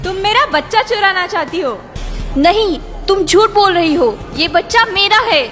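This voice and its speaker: Woman doing a shrill arguing lady in a kids cartoon, super high, music under. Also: expressive, moderate pace, a bit bright. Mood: quarrelling. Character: quarrelsome, desperate women claiming a baby. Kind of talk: Hindi children's cartoon dialogue.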